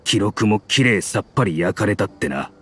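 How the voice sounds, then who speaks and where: A man's voice: on the low side, lively intonation, a bit bright, husky. Grim mercenary man. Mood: grave. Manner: grave, measured storytelling. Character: grizzled male mercenary, grim and loyal. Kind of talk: Japanese game dub.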